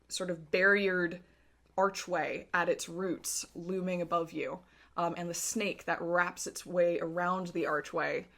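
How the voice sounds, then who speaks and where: A woman narrating and refereeing a tabletop fantasy game as game master, telling it with suspenseful pauses. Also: on the low side, fairly bright tone.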